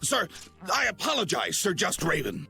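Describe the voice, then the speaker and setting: An actor playing a bumbling, loyal young knight who talks in a stiff, formal, stammering way. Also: male voice, high voice, very animated, normal speed, creaky, sharp tone, heavily acted. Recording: game music underneath.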